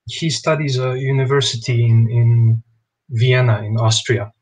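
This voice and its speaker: A young man from Bosnia, a guest answering interview questions over a video call in a relaxed, thoughtful way. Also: medium pitch, measured pace, slightly rough. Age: young adult. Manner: relaxed, thoughtful, "you know" fillers. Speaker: basketball player guest. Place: small home room.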